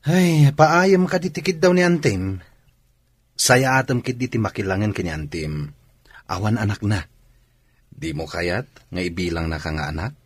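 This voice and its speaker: Young male companion, radio drama: very lively swoops, husky edge, always coaxing, eager and persuasive. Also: lowish voice, moderate pace, long pauses, no room sound.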